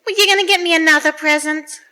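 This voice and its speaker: A woman's voice: extremely high pitch, fairly even intonation, quick pace, sharp tone. A woman playing a sassy detective's secretary in an old-time radio drama, wheedling and teasing, in a reverberant recording.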